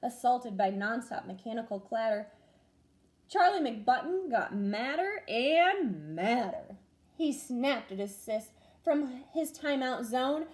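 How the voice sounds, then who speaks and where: A young woman, a first grade teacher, reading a rhyming picture book aloud with expressive, sing-song storytelling. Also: fairly high pitch, slow pace.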